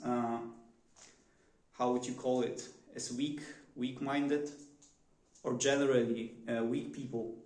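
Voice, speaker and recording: Slow, hesitant man with a Polish accent. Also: mid-range voice, mellow, roomy sound, rough recording. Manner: hesitant, many fillers and restarts. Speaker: vlogger. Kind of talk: unscripted one-take monologue. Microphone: phone.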